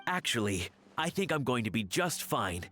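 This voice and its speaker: An actor playing a mild, hopeful young male chef in a video game, with a gentle, modest, polite manner. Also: mid-range voice, sing-song, moderate pace, soft background music. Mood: reassuring.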